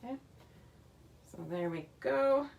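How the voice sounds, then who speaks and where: A woman with a slightly raspy voice, chatting casually as she demonstrates a scrapbooking kit in her home craft room. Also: high voice, animated, slow, a little mellow. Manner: chatty, talks while working with her hands.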